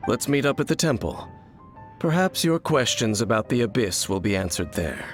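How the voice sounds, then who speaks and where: An actor playing a mysterious, guarded wandering swordsman who speaks in a measured, solemn and formal way. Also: mid-range voice, sing-song, lightly acted. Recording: game audio with soft music.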